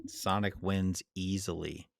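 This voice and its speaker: A man hosts a podcast in relaxed, chatty fashion. His voice sits slightly low and sounds dry, with no room around it. He comes across as agreeable as he moves the discussion along. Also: takes it slowly, creaky, no room sound. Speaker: podcast host. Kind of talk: casual chat, moderating a debate.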